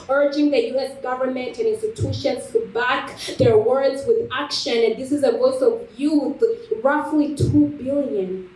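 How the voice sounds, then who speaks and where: High, mellow woman delivering spoken word. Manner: emphatic, deliberate repetition. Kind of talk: rhetorical spoken word speech. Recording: echoey room, distant microphone.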